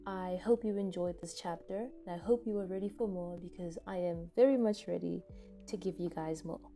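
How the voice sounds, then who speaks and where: A woman's voice: fairly low pitch, normal speed. A woman narrating a scripted second-person story as the reader of a podfic. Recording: soft music behind the voice. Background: soft background music.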